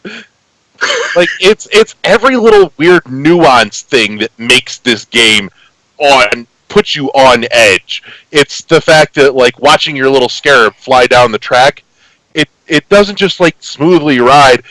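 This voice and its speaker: A man's voice: medium pitch, expressive, slow, bright, forceful tone, no room sound, low-quality recording. A man chatting casually about video games as a podcast guest, describing things in profane, exaggerated terms. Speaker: podcast guest and game reviewer.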